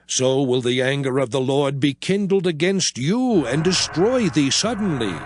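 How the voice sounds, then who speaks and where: A man's voice: medium pitch, animated, unhurried, close to an everyday voice. An actor playing a solemn, commanding prophet who addresses his people in dramatized, oratorical scripture with archaic English. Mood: solemn warning. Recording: loud music bed under voice. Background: music.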